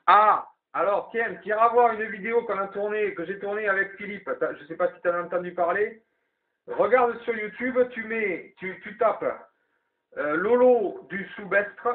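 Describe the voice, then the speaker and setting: A man's voice: high-pitched, normal speed. A man chatting casually over CB radio, explaining things and repeating himself as he runs the round. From southwest France. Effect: CB radio transmission. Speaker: amateur CB radio operator running the evening round. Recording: narrow tinny CB radio signal.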